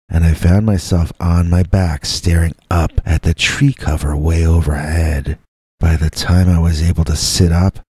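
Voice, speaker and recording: A man with a very low, dark voice tells a scary story in the first person. He reads slowly and deliberately, leaving dramatic pauses between short phrases. The recording is close and very dry. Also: breathy edge, no room sound. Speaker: storyteller, host reading listener stories as a Bigfoot persona.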